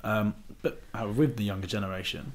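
Young man, slightly deep voice, podcast host, casual, slangy, affirming. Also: brisk, a little hiss or hum. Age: young adult. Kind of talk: casual interview chat. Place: living room in a home. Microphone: desktop microphone on table.

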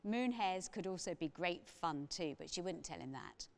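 Middle-aged woman, slightly low voice, author reading aloud, expressive children's storybook narration. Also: moderate pace, vocal fry.

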